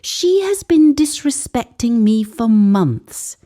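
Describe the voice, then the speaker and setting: A woman's voice: a little high, sing-song, slow pace, warm tone, voice pushed up. A woman storyteller voicing a cruel, arrogant grown son who is self-righteous and domineering, in a folktale. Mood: self-righteous boasting.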